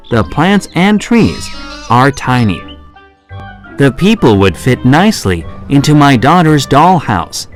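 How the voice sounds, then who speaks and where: An actor playing a curious, polite traveler who narrates his own children's story with expressive, clear phrasing. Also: mid-range voice. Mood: reflective. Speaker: first-person narrator.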